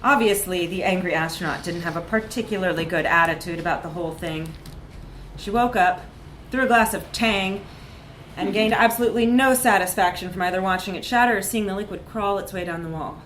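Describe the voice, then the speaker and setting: Woman doing a live reading of a funny story, expressive and dramatic, echoey room w a bit of noise. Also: mid-range voice, fairly quick. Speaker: reader of a short story.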